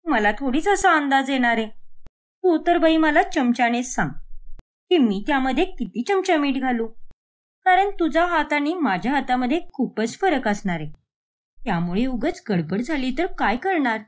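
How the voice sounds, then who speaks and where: A young adult daughter in a cartoon family tale, acted by a woman. Her voice is very high and whiny. She speaks in a cheeky, protesting way, like a reluctant pupil. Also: lively intonation, normal speed. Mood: complaining. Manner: complaining, protesting. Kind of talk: animated moral story dialogue.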